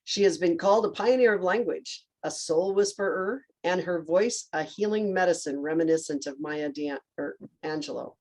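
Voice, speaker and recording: Low-voiced woman reading a glowing introduction. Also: moderate pace, few pauses, a little mellow, poor audio. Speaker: host.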